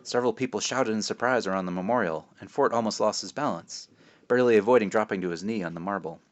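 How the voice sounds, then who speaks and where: A man with a fairly low voice reads as a children's author. His delivery is casual and conversational, moving between informal asides and storybook narration. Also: fast, muffled recording. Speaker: children's author reading his own book.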